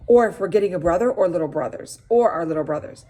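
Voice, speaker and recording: A woman's voice: lowish voice, expressive, fast, dark tone. A woman voicing a cheerful, eager young girl at summer camp in a storybook. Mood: gleeful.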